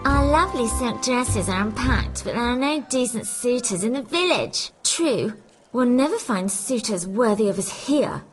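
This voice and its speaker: A woman's voice: on the high side, animated, moderate pace, soft background music, close to an everyday voice. A woman voicing vain, jealous, snobbish young sisters in a children's fairy tale, in a haughty, theatrical sing-song way. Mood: haughty complaining.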